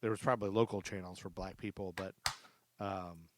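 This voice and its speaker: A man's voice: low-pitched, fairly even intonation, a little fast, noisy background. A man hosting a history podcast, chatting casually and thinking aloud with lots of fillers. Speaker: podcast host. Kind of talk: casual chat.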